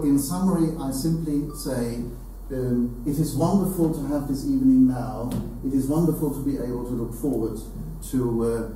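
Older male public speaker, slow and very mellow, addressing a hall formally with deliberate emphasis. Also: medium pitch. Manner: deliberate, halting, emphatic repetition. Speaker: event speaker. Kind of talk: formal tribute speech to an audience. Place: hall with seated audience, indoors. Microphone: distant camera. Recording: very echoey room, distant mic, slight noise.

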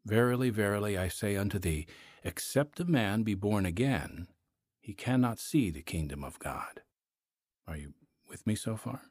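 An actor playing a gentle, earnest pastor in a radio drama, patient and solemn as he counsels, with a husky voice. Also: fairly low pitch, expressive, moderate pace, dry recording, studio quality. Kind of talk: audio drama acting, reading scripture aloud.

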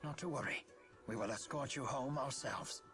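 An actor as a dignified elderly butler, gracious and formal, in a video game scene. His mid-pitched voice is measured, with music clearly behind it. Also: a bit bright, natural voice. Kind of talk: scripted game cutscene acting.

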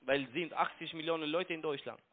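Youngish foreign guy w accent, highish voice, pushy broken speech, keeps repeating himself, tinny phone audio. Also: a little fast. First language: not German. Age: young adult. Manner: broken German, insistent, repetitive. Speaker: phone scam caller. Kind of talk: argumentative phone conversation. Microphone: telephone. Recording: tinny, narrow-band phone line.

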